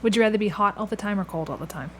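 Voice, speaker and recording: A woman's voice: medium pitch, expressive, very fast, fairly bright tone, slight background noise. A woman hosting a casual interview, asking questions in a conversational style. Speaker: podcast host, interviewer.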